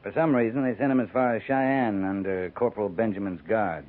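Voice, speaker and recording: A man acting a frontier cavalry captain with a low, steady voice. He is terse, folksy and in command, speaking in dry understatement on a tinny 1950s radio western recording. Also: fairly quick, close to an everyday voice. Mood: dry, measured.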